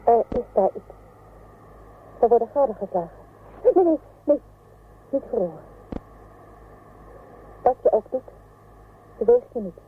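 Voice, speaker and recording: A woman's voice: a little high, very animated, a little slow, long pauses, husky, heavily acted. A woman playing a fearful, watchful space explorer in a science fiction radio play, giving tense, urgent warnings. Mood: wary. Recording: phone-like audio with faint noise.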